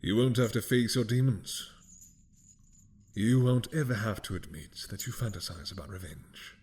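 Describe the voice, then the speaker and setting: A man playing a possessive, doting mad dragon king in a romantic roleplay drama, with a husky, breathy voice that often drops to a whisper and a regal, seductive manner. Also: fairly low pitch, darkish tone, slight background noise, lightly acted. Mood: tender, vengeful. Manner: slow, regal, seductive purring menace.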